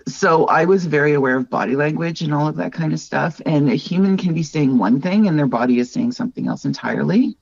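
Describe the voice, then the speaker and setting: A woman's voice: low voice, brisk, creaky, hardly any pauses, darkish tone, slightly rough, no room sound. Reflective Canadian woman, dry dull sound. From Northern Ontario, Canada. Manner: earnest, reflective, lots of "like" and "right?". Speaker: wildlife artist, podcast guest. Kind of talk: candid conversational interview.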